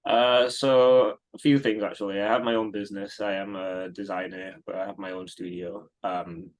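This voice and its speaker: A man in his thirties from Singapore, answering interview questions in a relaxed, rambling way with many fillers and restarts. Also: fairly low pitch, moderate pace. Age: 30s. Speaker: guest.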